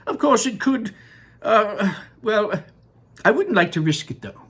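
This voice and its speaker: A man voicing an eccentric, excitable male chocolate maker with a high, fluttery delivery. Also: unhurried, slightly rough, full character voice. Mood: flustered.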